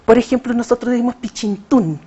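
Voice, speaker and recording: A woman's voice: fairly high pitch, a little fast, highs cut off, crowd noise. A Chilean woman, a poet, answering interview questions in a hesitant conversational way with frequent fillers. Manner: hesitant, many fillers and restarts. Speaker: poet and writer, interview guest.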